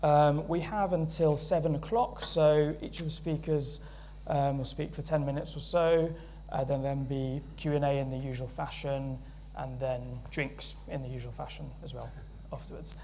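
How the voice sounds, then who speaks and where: A man's voice: on the high side, fairly even intonation, normal speed, mellow, slight background noise. A British man chairing a panel event, introducing speakers in a friendly, hesitant way with many fillers.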